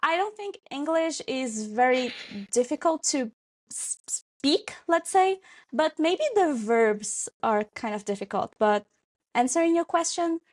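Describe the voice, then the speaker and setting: A woman's voice: high-pitched, lively intonation. A woman, a French teacher answering interview questions thoughtfully with frequent ums. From Brazil. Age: 20s. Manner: unhurried, thoughtful, many ums.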